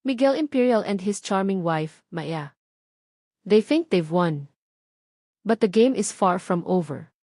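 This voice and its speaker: A woman with a Filipino accent voicing a calculating, vengeful younger female executive in an audio drama, cold and deliberate. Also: medium pitch, expressive, mellow, no room sound, clean studio sound.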